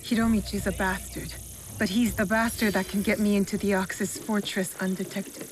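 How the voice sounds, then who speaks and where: A woman's voice: medium pitch, little pitch movement, normal speed, over music, natural voice. An actress playing a determined female shinobi assassin in feudal Japan, cool, terse and direct, in a video game. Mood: resolute. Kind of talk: scripted video game cutscene acting.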